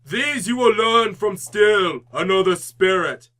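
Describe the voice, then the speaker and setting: A solemn old spirit declaims in old-time radio style. His voice is high and bright, with forceful tone. He draws out his words very slowly and grandly. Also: animated, heavily acted. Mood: urgent, foreboding. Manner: slow, grand, declamatory delivery.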